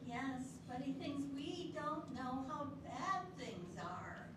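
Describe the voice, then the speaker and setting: Older woman as a singer, very slow, high voice, echoey, noisy. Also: very animated, exaggerated voice. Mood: earnest. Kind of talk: amateur readers theatre. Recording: echoey hall from far off, loud room noise.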